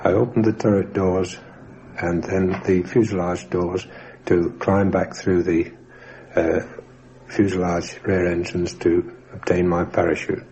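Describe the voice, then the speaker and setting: A middle-aged man gives a measured, matter-of-fact first-hand account of wartime in precise words, as a former air gunner in a documentary. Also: low-pitched, little pitch movement, mellow, low-quality recording. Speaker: former RAF rear gunner. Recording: faint noise on old radio recording.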